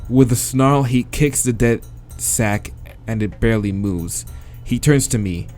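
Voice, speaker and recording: An American man reading a visual novel aloud as a let's-play host, dramatic in the narration and breaking into slangy, expressive asides. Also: mid-range voice, unhurried, faint music behind. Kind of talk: dramatic read-aloud with casual reactions.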